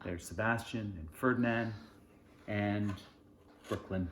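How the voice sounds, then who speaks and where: A man's voice: fairly low pitch, slow, a bit bright, echoey room, a little hiss or hum. A man reading a children's storybook aloud, expressively, in short phrases with informal asides. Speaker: storybook reader.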